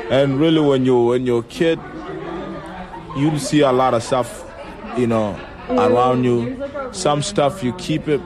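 A man in his twenties from South Sudan whose first language is Acholi, speaking casually and thoughtfully, often repeating words as he searches for them. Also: medium pitch. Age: 20s. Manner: relaxed, reflective, repeats words while searching. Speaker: beauty school student. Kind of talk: casual interview talk. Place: beauty school and home.

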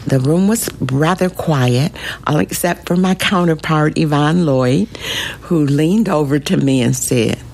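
A woman recalling an early meeting in an interview, in a slow, amused way. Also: deep voice, gravelly, darkish tone. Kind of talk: interview recollection.

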